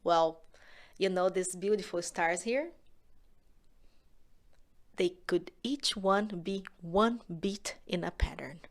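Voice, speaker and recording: A woman from Brazil with a Brazilian Portuguese accent, teaching a music lesson to children in a gentle, encouraging way that often drops to a soft whisper. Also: on the low side, takes it slowly, pauses often.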